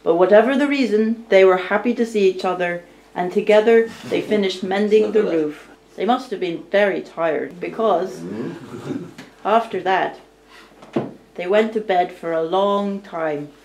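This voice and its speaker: Slow-paced woman storyteller in an echoey room. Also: medium pitch, creaky, darkish tone, a little hiss or hum. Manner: deliberate, theatrical storytelling. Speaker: storyteller narrating a puppet show. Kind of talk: live storybook narration. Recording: roomy, distant amateur recording.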